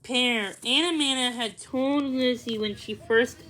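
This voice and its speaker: Female storybook reader, high-pitched voice, narrating for children with slightly halting delivery. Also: fairly bright tone, poor audio.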